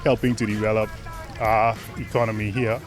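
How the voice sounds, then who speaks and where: A man with a Bahamian accent answering interview questions thoughtfully, pausing with fillers. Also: on the low side, little pitch movement, soft background music. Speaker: chamber of commerce president.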